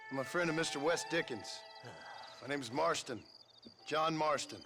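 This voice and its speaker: An actor playing a steady, patient cowboy gunslinger with an American accent, speaking in a dry, measured way in a western video game. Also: male voice, a little high, over music, close to an everyday voice. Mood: polite, insistent. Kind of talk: video game character acting.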